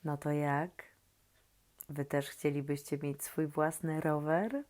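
A woman reading a children's story expressively and talking gently and directly to young listeners. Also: deep voice, fairly even intonation, slow.

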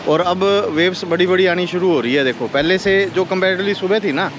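A man chatting as he films a morning beach walk on his phone, pointing things out to the camera with excited exclamations. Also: high voice, brisk, background noise. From Haryana, India. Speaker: travel vlogger. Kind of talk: casual walk-and-talk vlog narration. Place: outdoors on a beach. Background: loud background music.

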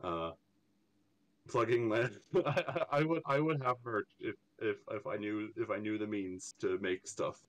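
Young man, Irish accent with an American tinge, lowish voice, stammering, rambling, laughs a lot. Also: unhurried. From Ireland. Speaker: artist guest. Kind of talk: casual interview chat.

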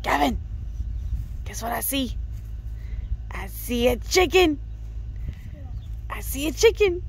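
A woman's voice: high-pitched, animated, slow, gravelly. A woman chatting casually with a child and cooing baby talk to animals. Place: dairy farm, among calves and cows. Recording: noisy farm ambience.

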